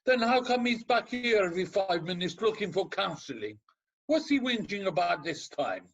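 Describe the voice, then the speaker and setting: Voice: medium pitch, sing-song, normal speed, vocal fry. An actor playing a scornful, impatient counsellor in a comedic radio play, speaking with sarcastic exasperation. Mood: sneering. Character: scornful, impatient, unsympathetic ancient Greek counsellor.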